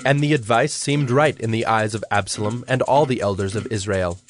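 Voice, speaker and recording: Measured male scripture narrator over soft music. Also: lowish voice.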